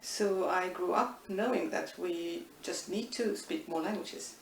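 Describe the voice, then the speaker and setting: A middle-aged woman, thoughtfully answering interview questions with many pauses and restarts. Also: on the low side, creaky. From Estonia. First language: Estonian. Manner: thoughtful, frequent hesitations and restarts. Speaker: interviewee, linguistics professor. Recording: echoey room, distant mic, faint noise.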